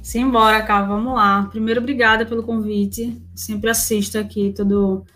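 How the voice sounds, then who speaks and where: Female interview guest, Northeastern Brazilian accent, informal and slangy, fairly even pitch, light music behind. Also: normal speed. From Recife, northeastern Brazil. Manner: informal, slangy, frequent tag questions. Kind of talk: casual chat.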